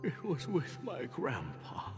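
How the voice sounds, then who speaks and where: An actor playing a weary, wistful older man reminiscing in halting breaths for a dramatic video game. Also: a little high, wildly swooping pitch, in a whisper, heavily acted. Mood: wistful, nostalgic. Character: weary, wistful aged male traveler reminiscing. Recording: long reverb with music behind.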